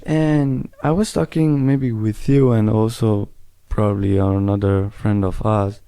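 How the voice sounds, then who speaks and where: A man's voice: fairly low pitch, fairly even intonation, measured pace, mellow, clear, resonant tone, dry recording. A young man from Italy interviewing a friend, hesitantly searching for words as he asks questions. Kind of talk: casual conversational interview.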